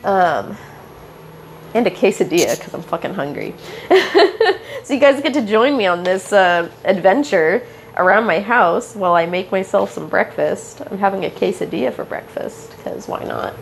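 A young woman hosting a podcast, hoarse and stuffed up from illness, rambling casually with lots of fillers and apologies while walking around her house on a headphone mic. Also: medium pitch, lively intonation, normal speed, slight background noise. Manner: meandering, many fillers and apologies, casual swearing. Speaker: podcast host. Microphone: headphone mic into phone.

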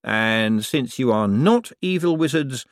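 A man reading an audiobook, voicing a wry, sharp-witted young man with a dry, sardonic manner. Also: medium pitch, animated, takes it slowly, no echo, voice pushed up. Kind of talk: audiobook character voice.

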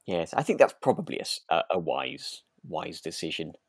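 Low, creaky-voiced man chatting casually. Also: very animated, moderate pace, no room sound, studio quality. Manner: hesitant, rambling, softens points with 'sort of'. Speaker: podcast co-host. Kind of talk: relaxed, casual chat reviewing a radio drama.

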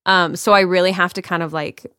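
A woman's voice: lowish voice, fairly even intonation, brisk, sharp tone, smooth, no room sound, clean studio sound. A woman voice actor chatting on a podcast, acting out her own inner thoughts as she talks. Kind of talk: casual chat.

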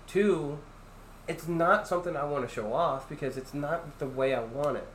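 A young man chats casually as a podcast host, his loud, deep voice carrying as he rambles through fillers and restarts. His pitch sits a little above most men's. The room is echoey, with a faint hiss of background noise. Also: normal speed. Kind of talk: casual chat.

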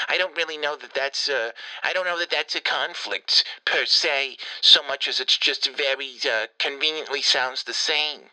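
A vain, bossy elderly grandmother, put on by a man rambles through a long phone message, tripping over restarts and swearing when she gets worked up. The voice sits a bit high and rings very bright and forceful. It has a radio-like sound. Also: low-quality recording. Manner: rambling, stammering restarts, exasperated cursing. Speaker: comedian doing a character voicemail. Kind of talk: improvised comedic phone message.

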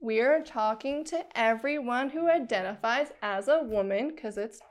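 A woman's voice: fairly high pitch, measured pace. A woman from the United States co-hosting a podcast, speaking in an outspoken, earnest way in casual chat. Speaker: podcast co-host.

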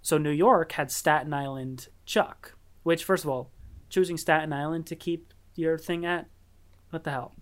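A man's voice: steady tone, moderate pace. Rambling young man hosting a podcast. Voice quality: high-pitched voice. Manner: rambling, laughs often, swears casually. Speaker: podcast host. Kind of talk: casual chat on a remote call. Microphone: computer mic over a voice chat app.

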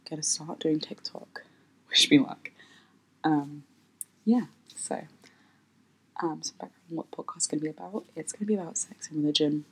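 Low husky-voiced woman hosting a podcast. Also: moderate pace, pauses often, fairly bright tone, poor audio. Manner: hesitant, many ums, light laughs. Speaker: podcast host. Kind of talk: unscripted solo podcast chat.

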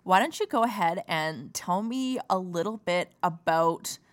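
Woman host, enthusiastic and chatty, slightly low voice. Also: lively intonation, unhurried. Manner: bubbly, gushing, upbeat. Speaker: podcast host. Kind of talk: enthusiastic interview chat.

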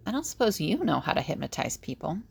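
Woman playing practical audio drama heroine, dry and a bit exasperated, lowish creaky voice. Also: very animated, quick pace, full character voice. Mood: earnest, explanatory. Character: practical, easily exasperated young woman with visions.

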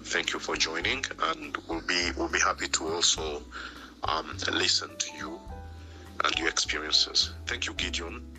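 A man's voice: sits low, fairly even intonation, a little slow, bright, forceful tone, soft background music, poor audio. A man who has a cold, speaking as a coffee company director on an online panel over remote call audio, chuckling and enthusiastic. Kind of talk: casual online panel talk.

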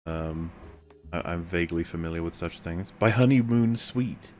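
A man's voice: sits low, moderate pace, darkish tone, slight background noise. A man hosting a casual group podcast, talking in a rambling, joking and sometimes profane way. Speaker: podcast host. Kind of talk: casual group chat commentary.

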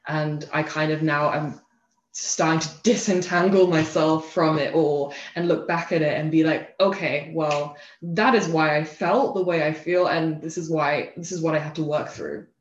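A woman's voice: sits low, fairly even intonation. Thoughtful young Englishwoman in a roomy space. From England. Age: young adult. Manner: thoughtful, halting, frequent "you know" and "like". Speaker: interview guest, self-love advocate. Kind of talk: reflective conversational interview. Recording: roomy echo, slightly dull lo-fi sound.

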